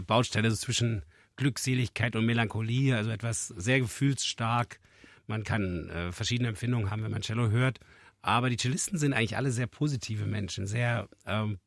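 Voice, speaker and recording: Gravelly man with a cold. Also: medium pitch, no room sound, clean studio sound. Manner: measured, explanatory, earnest. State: has a cold, voice husky. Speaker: festival director and cellist. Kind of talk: interview answers.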